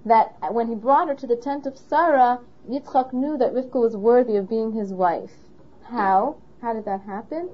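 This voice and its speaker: A woman's voice: fairly high pitch, normal speed, breathy edge. Woman, Torah teacher, patient questioning delivery, dull recording. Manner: asks the listener questions, patient. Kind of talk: explaining a Torah lesson.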